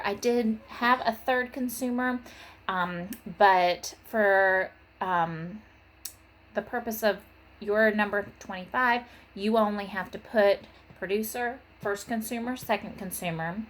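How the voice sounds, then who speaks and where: A woman's voice: mid-range voice, a little hiss or hum, low-quality recording. A woman teacher giving an informal lesson and story for young children, stressing key terms in an instructional way. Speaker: third grade teacher.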